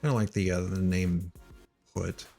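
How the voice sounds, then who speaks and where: A middle-aged man from Missouri thinking aloud while he writes code, halting with many ums and uhs. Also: low-pitched, fairly even intonation, creaky, dark tone, faint music behind. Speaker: live-coding streamer.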